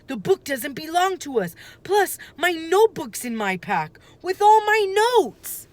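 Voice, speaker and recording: A woman voicing an anxious, excitable young boy in a children's storybook, with urgent exclamations. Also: very high-pitched, a little slow, dry recording, voice pushed up, full character voice. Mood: distressed, insistent.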